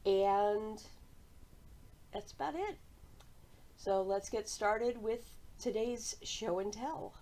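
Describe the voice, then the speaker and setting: A woman's voice: mid-range voice, slow, pauses often, mellow. An American woman chatting casually and cheerfully to viewers as the host of a hobby vlog. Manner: conversational, cheerful. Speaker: cross-stitch vlogger and host.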